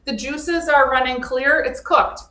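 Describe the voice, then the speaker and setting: A woman's voice: sits high, fairly even intonation, measured pace, bright, forceful tone, echoey room, a little hiss or hum. A woman guest explaining how to cook chicken, practical and step by step. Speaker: cooking guest. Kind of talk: cooking tips.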